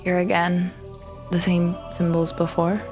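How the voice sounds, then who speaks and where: A woman's voice: lowish voice, monotone, a little slow. A woman talking casually as a player in a tabletop roleplaying game. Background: music, rain effects.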